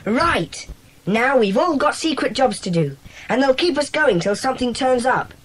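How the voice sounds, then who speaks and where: A schoolboy in charge of a secret club. The delivery is brisk, bossy and eager, with pitch that moves about a lot, in the manner of a children's dramatised audiobook. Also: female voice, expressive, breathy edge. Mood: organising, earnest. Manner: brisk, commanding, organising.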